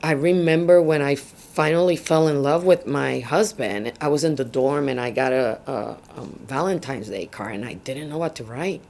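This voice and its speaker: A woman's voice: a bass voice, moderate pace, creaky, few pauses, a little mellow. A Puerto Rican woman in her thirties, a native Spanish speaker with a Puerto Rican accent, telling her story in an oral history interview with many fillers and easy laughter. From Puerto Rico. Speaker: interviewee, social worker. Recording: faint background noise.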